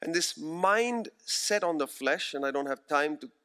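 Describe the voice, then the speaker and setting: A man in his forties preaches with an earnest, emphatic delivery, slowing down to repeat his key phrases. His voice sits a little higher than most men's. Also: expressive, bright, forceful tone, clean tone. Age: 40s. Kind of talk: sermon.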